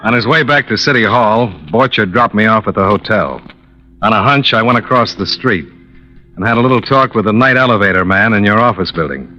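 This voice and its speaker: An actor playing a hard-boiled American insurance investigator in a 1950s radio detective drama, clipped, matter-of-fact and wry. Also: male voice, fairly low pitch, a little fast, music in the background, close to an everyday voice. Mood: dry, brisk. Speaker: narrator and lead detective. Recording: dull old radio broadcast recording.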